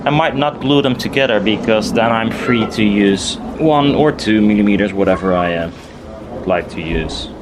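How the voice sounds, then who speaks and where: Low-voiced man muttering over noisy workshop chat. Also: animated, moderate pace. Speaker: DIY maker filming a build video. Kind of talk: casual workshop chat, thinking aloud while working. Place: outdoors in a garden. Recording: noisy outdoor garden recording.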